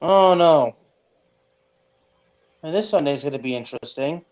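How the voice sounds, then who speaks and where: A man co-hosting a sports podcast in casual chat, speaking haltingly and repeating words as he goes. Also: fairly high pitch, animated. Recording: dull, lo-fi sound.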